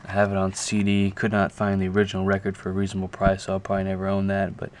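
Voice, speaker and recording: A man giving a casual, unscripted show-and-tell to a camera, talking haltingly and thinking aloud as he goes. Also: low voice, monotone, darkish tone. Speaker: video creator showing a music collection. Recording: clear background noise, amateur camera audio.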